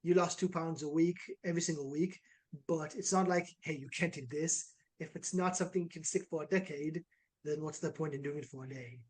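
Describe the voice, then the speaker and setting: A man's voice: on the high side, brisk. A man in his twenties from Israel, an online fitness coach talking casually and energetically in an interview. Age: 20s. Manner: energetic, uses many "like" and "right" tags.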